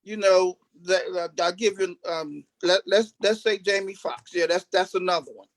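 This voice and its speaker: A man chatting casually as an interview guest, halting and repeating himself as he builds up to his point. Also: high voice, steady tone, a little hiss or hum. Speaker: stage actor, interview guest. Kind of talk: casual chat.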